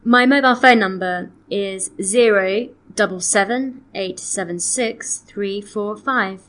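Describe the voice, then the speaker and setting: A woman playing a polite customer on a complaint call, reading scripted dialogue in a measured, clearly enunciated way. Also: mid-range voice, fairly bright tone, soft background music, poor audio. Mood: polite, matter-of-fact. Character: polite woman customer phoning to report missing, damaged antiques. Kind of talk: scripted listening-test dialogue.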